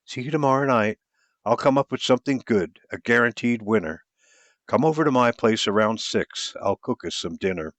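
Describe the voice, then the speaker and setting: A man's voice: medium pitch, animated, moderate pace, a bit bright, no echo, natural voice. Audiobook role: male narrator as playful, upbeat woman, coaxing and insistent, close dry sound. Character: upbeat, teasing woman planning a karaoke duet.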